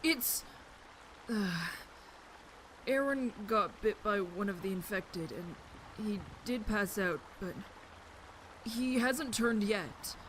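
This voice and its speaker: A woman's voice: medium pitch, lively intonation, takes it slowly, long pauses, a bit bright, a little hiss or hum, rough recording. Woman acting an earnest, nervous brainy teenage boy. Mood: anxious. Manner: hesitant, eager. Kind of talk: audio drama acting.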